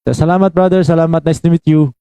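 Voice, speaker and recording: Filipino man in his twenties, live-stream host, mellow voice, smooth tone, dry close sound. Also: on the high side, steady tone, fast talker, dry recording. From Philippines. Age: 20s. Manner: greets viewers by name between chat, mixes Tagalog and English. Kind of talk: casual live-stream chat.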